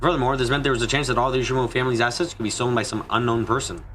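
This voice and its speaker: A man's voice: medium pitch, steady tone, very fast, a bit bright, slight background noise. A man narrating a mystery visual novel as a streamer, with slightly congested, mushy diction from a cold. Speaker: let's play streamer reading a visual novel. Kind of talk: dramatic narration of a story game.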